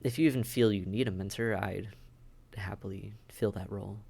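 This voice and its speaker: A man's voice: lowish voice, fairly even intonation, normal speed, creaky, a little mellow, husky. A man acting a friendly, dry-witted vampire caseworker in a conversational audio roleplay, wry, understated and matter-of-fact. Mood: helpful, friendly. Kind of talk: conversational audio roleplay, one side of a dialogue.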